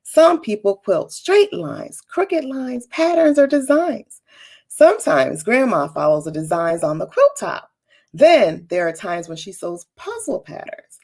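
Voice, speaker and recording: A woman's voice: medium pitch, very animated, a little slow, a little mellow. A woman voicing a proud, know-it-all young storybook girl who explains things in an emphatic, teacherly manner. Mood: proud, instructive. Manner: emphatic, teacherly, eager to show off.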